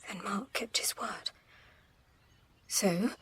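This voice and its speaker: A woman's voice: medium pitch, takes it slowly, fairly bright tone, a little hiss or hum. A woman playing an anxious, genteel young Georgian lady in a period audio drama, speaking formally and urgently. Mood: anxious urgency.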